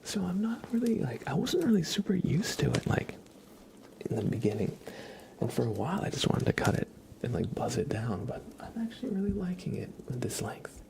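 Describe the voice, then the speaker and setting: A young man doing a casual ASMR livestream chat, relaxed and halting, softly spoken and often slipping into whispers. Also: fairly high pitch, gravelly. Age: young adult. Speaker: ASMR livestreamer chatting with viewers.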